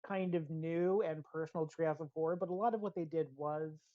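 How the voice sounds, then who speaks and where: Podcast co-host, mid-pitched, enthusiastic explainer in casual discussion; dry but muffled lo-fi sound. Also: steady tone, a little fast, no room sound. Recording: dull, lo-fi audio.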